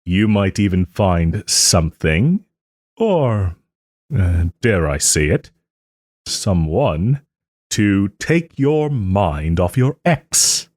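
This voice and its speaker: A man playing a smug but soft-hearted nobleman and former lover in an audio roleplay, suave and refined, with gentle teasing and hesitant pauses. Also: low voice, sing-song, dry recording, clean studio sound, full character voice. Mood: coaxing, suave. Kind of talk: audio roleplay, dramatic monologue to listener.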